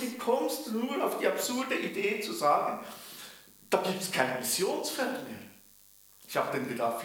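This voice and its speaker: A man's voice: sits high, fairly bright tone. A man from Bern with a Swiss German accent preaching a sermon, telling it emphatically with rhetorical questions. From canton of Bern, Switzerland. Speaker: preacher and mission leader. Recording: very echoey room, distant from microphone.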